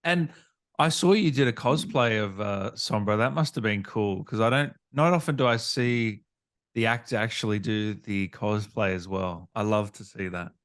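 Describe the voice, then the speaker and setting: A man's voice: on the low side, moderate pace, fairly bright tone, no echo, studio quality. A man hosting a casual gaming interview, asking questions in an informal, conversational way. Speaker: interviewer, gaming channel host.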